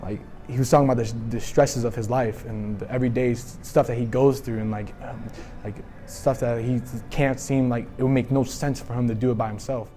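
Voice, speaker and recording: Mellow-voiced teen guy from Philly. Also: mid-range voice, steady tone, soft background music. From Philadelphia. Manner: halting, many "like" and "right?" fillers. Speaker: athlete sharing testimony. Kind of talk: casual personal testimony.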